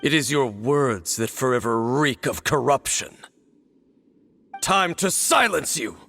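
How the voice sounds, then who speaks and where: A man playing a stoic, brooding immortal warrior in an anime game, speaking in a solemn, deliberate manner. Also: mid-range voice, lively intonation, gravelly, a bit bright, a little hiss or hum, exaggerated voice. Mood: defiant. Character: stoic, brooding male warrior, cursed immortal former royal guard. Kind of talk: anime game dramatic acting.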